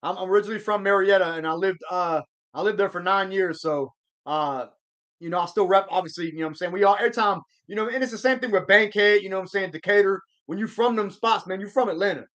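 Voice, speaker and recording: A man's voice: high-pitched, quick pace, a bit bright, lo-fi sound. A man hosting a live sports stream, chatting casually and answering viewer comments in a rambling, slangy way. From Georgia. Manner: laid-back slang, rambling restarts.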